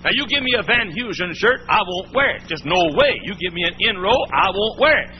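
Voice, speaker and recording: A man's voice: sits high, expressive, a little fast. A man preaching a sermon in a church, folksy and emphatic, repeating his points. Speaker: pastor. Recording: muffled old sermon tape, faint hiss.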